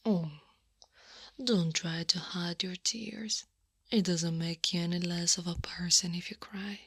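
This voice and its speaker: A woman playing a knowing female Krampus monster in an intimate ASMR roleplay, firm yet tenderly comforting, in a soft voice that often drops to a whisper. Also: fairly low pitch, steady tone, husky, no echo, clean studio sound, heavily acted. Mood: tender, soothing. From Italy. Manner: slow, gentle, deliberate coaxing. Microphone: close-up microphone.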